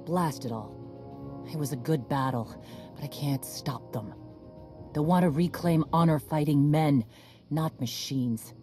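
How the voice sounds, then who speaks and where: Tough warrior woman boss in a game, gruff n blunt, bossy, music going behind. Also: low-pitched, measured pace, mellow. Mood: blunt, commanding. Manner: blunt, terse, authoritative. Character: gruff, commanding female warrior clan leader. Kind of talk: video game voice acting.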